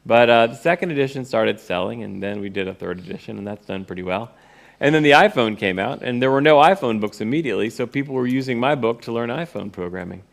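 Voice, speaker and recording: Middle-aged man, average pitch, creaky gravelly voice, informal storytelling, wry delivery. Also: expressive, fast talker. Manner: wry, acts out remembered dialogue. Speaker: presenter, course teacher and author. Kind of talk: informal first-person storytelling to an audience.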